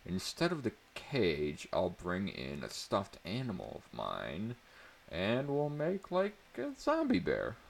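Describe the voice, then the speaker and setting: A man's voice: fairly low pitch, wildly swooping pitch, takes it slowly, creaky, a little hiss or hum, voice pushed up, exaggerated voice. A man voicing an eager, playful teenage girl in a dramatic story reading. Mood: excited, playful.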